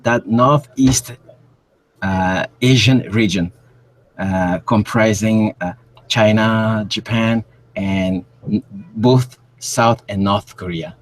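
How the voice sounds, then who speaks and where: A man's voice: on the low side, slow, a little hiss or hum, poor audio. A man from Cameroon, a guest researcher speaking on a remote call, who often stops to search for words. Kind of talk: interview on a call.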